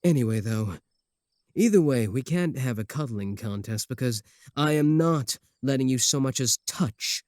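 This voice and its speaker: Guy doing a cocky lovesick werewolf, sarcastic, braggy, mid pitch, really dry close sound, asmr roleplay comedy. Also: animated, moderate pace, darkish tone, no echo, natural voice. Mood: dry bickering. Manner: earnest boasting, dry sarcastic jabs. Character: cocky, obsessive, lovesick male werewolf, easily offended.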